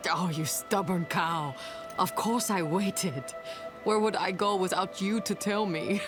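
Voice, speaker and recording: An actress playing an affectionate, blunt and loyal friend, drawing out words with emotion in a video game. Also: female voice, fairly low pitch, unhurried, close to an everyday voice. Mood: relieved, tender. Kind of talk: dramatic video game acting. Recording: dramatic music under the voice.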